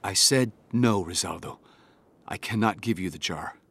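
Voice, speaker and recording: Humble, husky-voiced younger brother. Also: male voice, deep voice, fairly bright tone, heavily acted. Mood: quietly firm. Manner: polite, earnest, measured. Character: humble, polite, gentle fisherman father, younger brother. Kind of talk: children's audio drama acting.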